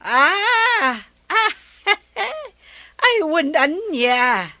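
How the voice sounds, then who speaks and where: A woman playing a forgetful elderly Ilocano grandmother in a radio drama, with a husky, drawn-out voice. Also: very high voice, big pitch swings, bright, forceful tone, no echo, full character voice. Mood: laughing, apologetic. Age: older.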